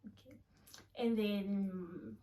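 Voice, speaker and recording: A woman's voice: medium pitch, steady tone, extremely slow, warm tone, far from the mic. A woman interviewer asking polite, direct questions in short prompts.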